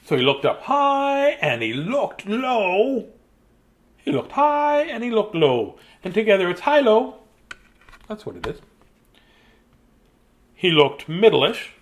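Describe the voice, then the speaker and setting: A man in his fifties reads children's bedtime picture books in an animated, playful, childlike way, with chatty asides. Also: sits high, takes it slowly, long pauses. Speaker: children's storyteller. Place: small decorated room indoors.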